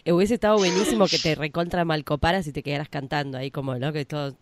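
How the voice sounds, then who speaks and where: A speaker with a mid-pitched voice joins a friendly conversation, reacting often and tossing in jokes. The delivery is easygoing and conversational. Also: fairly even intonation, fast talker, dry recording. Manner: reactive, laughing, jokey. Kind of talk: casual chat.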